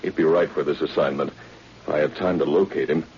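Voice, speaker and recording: Brisk American spymaster, deep-voiced and commanding, 1940s radio play, dull old-broadcast sound. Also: male voice, steady tone, a little mellow. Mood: probing, measured. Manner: authoritative. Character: brisk, probing male government intelligence chief. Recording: muffled vintage radio recording with hiss.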